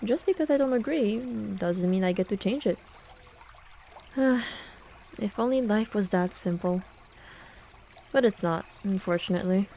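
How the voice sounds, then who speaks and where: A woman's voice: mid-range voice, expressive, a little slow, long pauses, mellow, slight background noise. A young woman acting a playful, teasing student friend in a casual, intimate ASMR roleplay. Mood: wistful. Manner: casual, teasing, with sighs and chuckles. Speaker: voice actor. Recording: running and trickling water behind voice. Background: hot spring water ambience.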